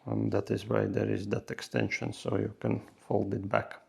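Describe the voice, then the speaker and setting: A man's voice: fairly low pitch, completely flat delivery, normal speed, vocal fry, husky. A man talking casually through a hands-on tutorial step by step, with non-native English phrasing. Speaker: host of a motorcycle gear how-to video.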